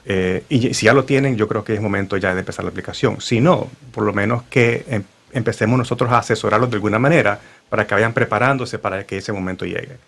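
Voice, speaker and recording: A man with a gravelly, fairly low voice talks at a rapid clip as a guest in a radio studio. He hesitates often and fills gaps with little sounds as he explains himself. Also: a bit bright. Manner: hesitant, many fillers. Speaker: real estate agent. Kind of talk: radio talk show guest.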